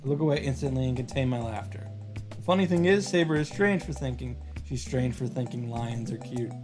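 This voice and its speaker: A man reading visual novel text aloud and adding his own animated reactions and summary commentary. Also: mid-range voice, fairly quick, over music. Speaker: visual novel streamer and narrator.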